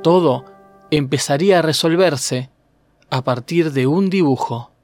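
An Argentine man narrates a documentary podcast from a script. His voice sits a little high for a man, with soft piano music underneath. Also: measured pace. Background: faint piano music.

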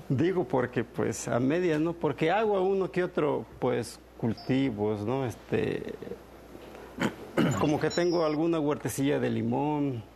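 A man's voice: on the high side, animated, slow pace, darkish tone. A middle-aged man with a coastal Mexican accent talks casually in an interview, in a hesitant, humble and unpolished way. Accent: coastal Mexican Spanish. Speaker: interview guest, farmer and non-professional film actor. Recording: clear background noise.

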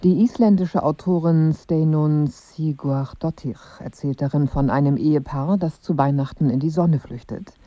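A radio announcer giving a measured, scripted introduction to a drama. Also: mid-range voice, dry recording, lo-fi sound.

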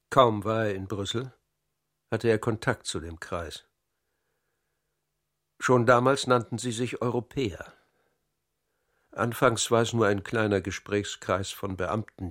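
Composed middle-aged academy director, low voice, steady under pressure, radio crime drama. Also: male voice. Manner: measured, steady testimony. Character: Dutch academy director, calm psychologist under interrogation.

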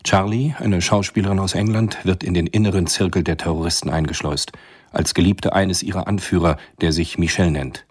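The narrator of a radio thriller. His voice is low and husky. He reads the scripted story soberly. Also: fairly even intonation, fairly quick.

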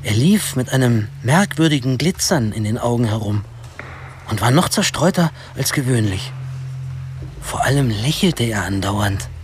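A man's voice: medium pitch, animated, moderate pace, a little hiss or hum, close to an everyday voice. An actor narrating a radio drama as a wry young man looking back on his troubled teens, with a dry, ironic manner. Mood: wry, reflective.

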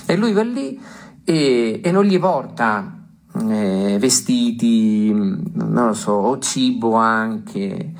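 A man telling a story aloud at a recital, building it from repeated phrases with deliberate pauses. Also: mid-range voice, animated, creaky.

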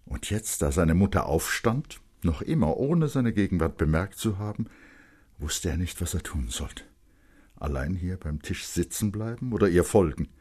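Deep, husky male literary narrator. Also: animated, moderate pace, darkish tone.

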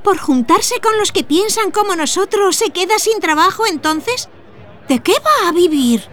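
A woman's voice: extremely high pitch, lively intonation, a little slow, fairly bright tone, heavily acted. An actress playing a curious, innocent young daughter who questions her mother in a radio drama. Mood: curious, uneasy. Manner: inquisitive, childlike. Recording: busy outdoor street crowd behind.

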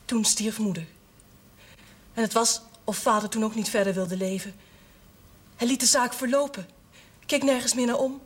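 Earnest, troubled young woman, high-voiced. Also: moderate pace, long pauses, husky. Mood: earnest, confiding. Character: earnest young former novice, burdened daughter managing family business. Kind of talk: television period drama acting.

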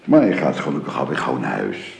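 Deep, gravelly, solemn father. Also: wildly swooping pitch, brisk, a little mellow, full character voice. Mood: gentle, grave. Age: middle-aged. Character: earnest, protective middle-aged father, grave and caring. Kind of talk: radio drama acting.

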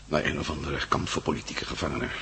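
A worn-out, sardonic prisoner in an old radio play. The voice is low and gravelly with a hard edge, over faint hum. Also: male voice, fairly even intonation, quick pace, bright, forceful tone, heavily acted. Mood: wry, weary. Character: weary, battered male prisoner, gloomy, wry and defiant. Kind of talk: radio drama acting.

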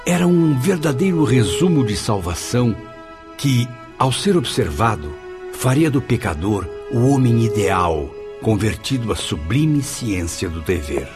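A man's voice: mid-range voice, sing-song, unhurried, over music. A man playing an earnest, dramatic spirit of a suicide who narrates his afterlife in a radio drama. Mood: admiring, reflective. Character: anguished, curious male suicide soul narrating his afterlife.

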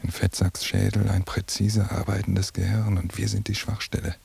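An actor playing a paranoid, obsessive surveillance man in a radio drama monologue, brooding in a gravelly, hushed half-whisper. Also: fairly low pitch, flat delivery, moderate pace, exaggerated voice. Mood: bitter, brooding.

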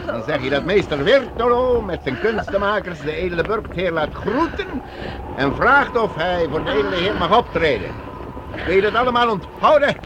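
Casting: fatherly, commanding troupe master, animated delivery, old radio drama with clear background noise. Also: sits high, moderate pace, lo-fi sound. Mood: bossy. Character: older master of a wandering troupe of minstrels, kindly but bossy. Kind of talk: radio play acting for children.

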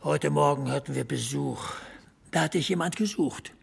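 A man's voice: fairly high pitch, moderate pace, vocal fry, husky, full character voice. An actor playing a dry, laconic small-town drinking buddy who needles his friend in a radio drama. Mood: dry, matter-of-fact.

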